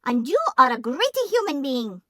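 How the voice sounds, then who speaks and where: Storybook leprechaun played by a woman: tiny old Irish man, high-pitched, stubborn, short-tempered, recorded close and dry. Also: big pitch swings, moderate pace, sharp tone, no echo, heavily acted. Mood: indignant. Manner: lilting, emphatic, theatrical speech.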